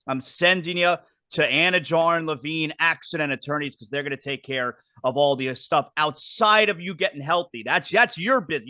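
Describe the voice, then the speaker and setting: High, bright-voiced talk show host. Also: male voice, no room sound. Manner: chatty, repeats words when restarting. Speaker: podcast host. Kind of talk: casual wrestling talk show chat.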